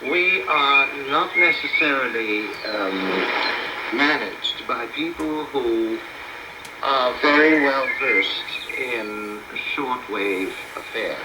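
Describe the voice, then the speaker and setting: A man's voice: medium pitch, takes it slowly, reverberant, heavy background noise. A man being interviewed on a shortwave radio broadcast, choosing his words carefully with hesitations and formal phrasing. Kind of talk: conversational interview.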